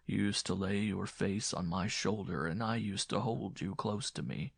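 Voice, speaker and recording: A man voicing a bitter, menacing, disfigured blind former lover in a slow, hushed, breathy voice for a horror story. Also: low voice, dry recording, studio quality, full character voice. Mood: tender, wistful. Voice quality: breathy, hushed, often whispering. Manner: slow, quiet, pleading turning cruel.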